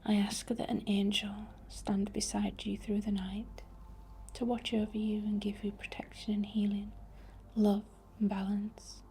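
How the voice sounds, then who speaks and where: A woman whispers in a soft, breathy ASMR style, guiding a healing meditation. Her voice is mellow and soothing, with unhurried phrasing. A faint layer of background noise sits behind her. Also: mid-range voice, little pitch movement. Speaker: ASMR host leading a healing session.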